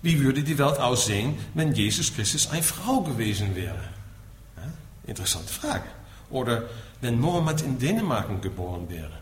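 Dutch-accented man lecturing with lively, swinging intonation. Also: mid-range voice, moderate pace. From Netherlands. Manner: explanatory, punctuating points with a questioning tag. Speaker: Buddhist teacher. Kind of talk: spoken lecture to listeners. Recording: echoey room with faint background noise.

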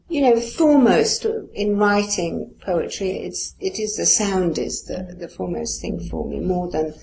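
A woman's voice: medium pitch, vocal fry, a little mellow, a little hiss or hum, rough recording. A woman poet who grew up speaking Farsi, answering interview questions in a musing, hesitant way with light laughs. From Iran. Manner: musing and hesitant, many "you know" fillers, light laughs.

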